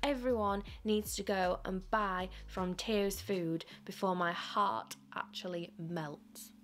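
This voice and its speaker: A woman's voice: mid-range voice, fairly even intonation, takes it slowly, a bit bright. A young woman from England, gushing and enthusiastic as she narrates a vlog and shows off her haul from home. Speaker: vlogger. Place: living room at home, sitting on floor.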